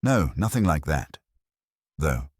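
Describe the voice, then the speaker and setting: A man voicing a nervous, fastidious shop window dresser in a detective story, precise and deferential. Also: rumbling low, very animated, a little mellow, breathy edge, no echo, studio quality, full character voice. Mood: puzzled, troubled.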